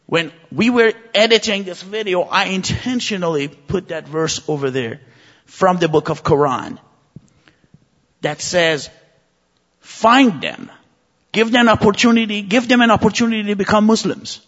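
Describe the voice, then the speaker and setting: A man's voice: high voice, moderate pace, fairly bright tone. A man from Iran, a native Persian speaker, preaching an impassioned sermon with vivid stories. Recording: muffled recording with long reverb.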